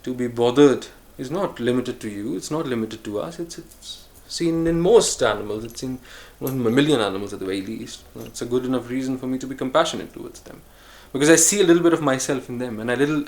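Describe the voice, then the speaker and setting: A man vlogging a reflective solo monologue, musing and earnest, with frequent pauses and restarts. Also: mid-range voice. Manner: earnest, speaks of choosing calm over harshness.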